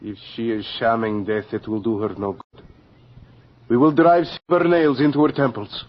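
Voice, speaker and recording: An actor playing a merciless, zealous vampire slayer, commanding and declamatory, in a radio horror drama. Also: male voice, medium pitch, fairly even intonation, moderate pace, natural voice. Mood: grim. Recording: muffled radio recording, crowd behind.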